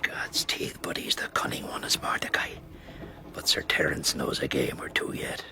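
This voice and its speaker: An actor playing a scheming, breezy Irish gentleman rogue in a period radio drama, blustery and sly with an Irish accent. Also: moderate pace, whispered. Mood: sly, muttering.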